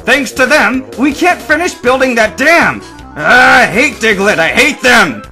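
A man voicing a furious, exasperated male construction worker in a story, yelling his lines. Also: high voice, very animated, a little fast, faint music behind, pitched above the performer's own voice, full character voice. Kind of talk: fan fiction audiobook character voice.

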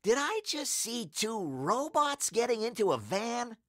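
An incredulous, stubborn schoolboy acted by a male storybook reader speaks emphatically in a raised voice. He is boyish and firm-minded, with lively swings in tone. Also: a little high, unhurried, no room sound, clean studio sound, voice pushed up.

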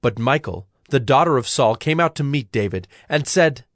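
A man's voice: medium pitch, lively intonation, moderate pace, no echo. Dry-sounding male scripture narrator.

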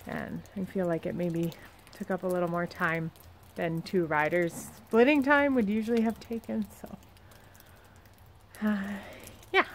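A young woman in her twenties, talking in a relaxed, chatty way as she films herself outdoors at a barn. She holds the phone out at arm's length. A faint outdoor hiss sits behind her voice, with horses moving about nearby. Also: mid-range voice. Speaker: vlogger, horse trainer. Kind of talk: casual vlog chat and voice-over. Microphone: phone held at arm's length.

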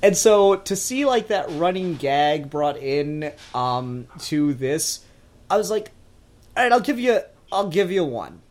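A man's voice: fairly high pitch, animated, unhurried. A man hosting a casual fan review discussion, talking in an enthusiastic, opinionated, analytical way. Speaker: podcast host.

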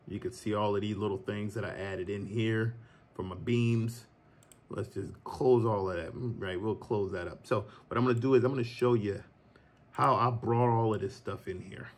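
A man in his thirties giving a relaxed, chatty software tutorial from his home office, recorded on a phone and talking to viewers as y'all. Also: fairly low pitch. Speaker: filmmaker teaching a tutorial. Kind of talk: casual screen-recorded tutorial. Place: green screen studio, then home office.

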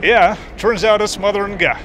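A man's voice: sits high, steady tone, normal speed, a bit bright. Young man, street noise. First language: Russian. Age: young adult. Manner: wry, irreverent, playful asides. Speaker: vlogger. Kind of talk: casual educational vlog. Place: outdoors on city streets and squares. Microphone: handheld camera at arm's length.

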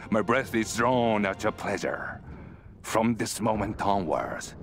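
An actor playing a solemn, devoted general in a video game, speaking formally with resolute deliberation. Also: male voice, on the low side, slow pace, slight background noise. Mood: solemn devotion. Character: solemn, devoted male general of an ancient army. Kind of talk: acted oath and speech. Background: music.

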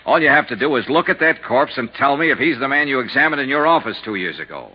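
An actor playing a hard-boiled, persistent insurance investigator in a 1950s radio drama, brisk, dry and insistent. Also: male voice, mid-range voice, expressive, slightly rough. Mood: impatient. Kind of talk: 1950s radio drama acting and first-person narration. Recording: noisy, lo-fi 1950s radio recording.

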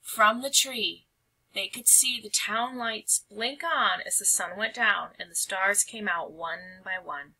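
A woman's voice: mid-range voice, takes it slowly, sharp tone, husky, poor audio. A woman reading a picture book aloud as a storyteller, with dramatic, emphatic phrasing.

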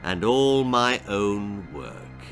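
A man's voice: fairly low pitch, very animated, drawn-out words, pitched below the performer's own voice, heavily acted. Guy doing a creepy sardonic stage ventriloquist, dry and controlled, bit of music under it. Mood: sly admiration. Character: sinister, irritable bald male ventriloquist, dryly sardonic.

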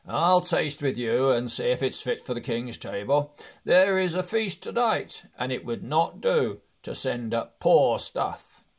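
A man's voice: medium pitch, expressive, a little slow, vocal fry. A man voicing a jovial elf chief of guards with a hearty manner in a storybook reading.

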